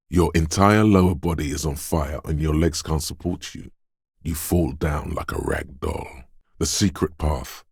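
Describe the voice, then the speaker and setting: A man voicing a detective's quick-witted inner voice in a video game, narrating in a measured, deliberate way with short, wry, alert asides. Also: very deep voice, darkish tone, husky, no echo, clean studio sound, full character voice. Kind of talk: scripted game narration, second-person inner voice.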